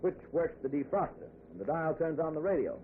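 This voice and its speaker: Through a tinny, faintly noisy old radio recording comes a jovial American traveling salesman. His pitch swings freely as he chats in a folksy, hesitant way, in the manner of 1940s radio drama. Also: big pitch swings, brisk. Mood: jovial, chatty.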